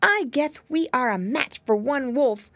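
A woman voicing an excitable little piggy boy who takes charge and lays out plans in a high, childlike voice. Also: unhurried, no room sound, exaggerated voice. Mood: eager.

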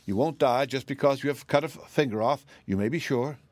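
A man voicing a wise storybook wizard, brisk, assured and consoling. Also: mid-range voice, no echo, close to an everyday voice.

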